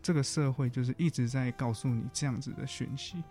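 A man's voice: mid-range voice, fairly quick, warm tone, soft background music. A man with a Taiwanese accent talking reflectively as a guest counselor in a casual interview, often rephrasing his points.

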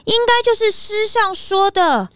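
A woman's voice: very high-pitched, lively intonation, measured pace, fairly bright tone, no echo, full character voice. A woman playing a chirpy, inquisitive young detective's sidekick, chatty and cheeky, in a children's audio drama. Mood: puzzled, curious.

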